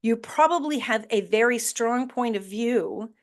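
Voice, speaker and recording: Slow, emphatic woman coaching listeners. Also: fairly high pitch. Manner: earnest, emphatic, coaching tone with rhetorical questions; blunt, with mild swearing and laughs. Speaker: podcast host. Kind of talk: motivational podcast talk.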